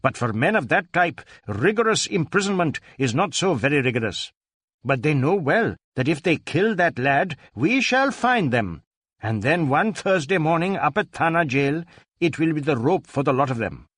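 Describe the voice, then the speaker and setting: A man voicing a brusque, domineering police superintendent in an audiobook, with a sharp, pompous delivery. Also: a little high, very animated, moderate pace, dry recording, clean studio sound, pitched above the performer's own voice. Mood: scornful confidence. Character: brusque, scornful, domineering middle-aged Indian police superintendent.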